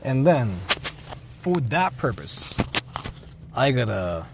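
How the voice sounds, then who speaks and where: A man's voice: mid-range voice, very animated, slow. A man chatting casually on a livestream from inside a car, rambling, repeating his phrases and swearing freely. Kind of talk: casual IRL livestream chat while driving. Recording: noisy car interior. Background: vehicle noise.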